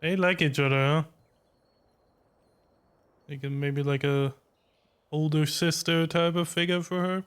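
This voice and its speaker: Slow-talking, laid-back man streaming games. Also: fairly high pitch, fairly even intonation, clean tone. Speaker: streamer reacting to a game. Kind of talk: casual game reaction commentary. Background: game music.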